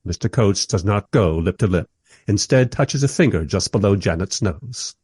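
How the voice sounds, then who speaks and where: A man's voice: fairly low pitch, animated, normal speed, a little mellow, breathy edge, dry recording, clean studio sound. An actor playing a wry modern filmmaker who narrates his own story in a scripted radio play. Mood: wry, observant.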